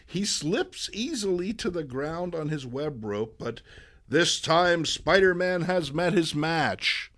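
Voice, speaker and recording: A man's voice: fairly high pitch, animated, takes it slowly, a bit bright. A man giving a playful, theatrical dramatic reading of a comic book, with wry asides. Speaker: amateur comic book reader.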